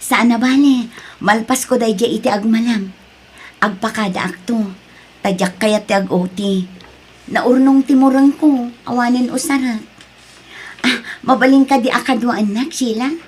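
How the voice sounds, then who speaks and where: A friendly working woman played by an actress with a fairly high, husky voice. She talks in a grateful, natural way within an acted audio drama. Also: unhurried, long pauses, a little mellow. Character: friendly, grateful woman working abroad, missing her child.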